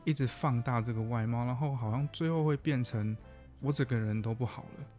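A man's voice: medium pitch, brisk, darkish tone. A man with a Taiwanese accent speaks in a mid-range voice as a guest counselor. He talks casually and reflectively, circling back to rephrase what he means. Faint music plays behind him. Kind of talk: casual conversational interview.

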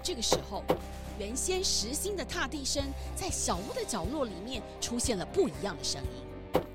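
A woman narrating a children's story in a lively, sing-song storytelling manner. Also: fairly high pitch, moderate pace, music in the background.

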